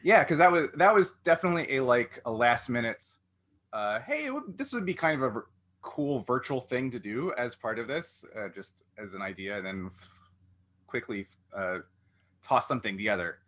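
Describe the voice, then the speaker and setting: Bright-voiced man chatting as interview host. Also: mid-range voice, big pitch swings, moderate pace. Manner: rambling, thinking aloud, self-interrupting. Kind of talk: casual interview chat.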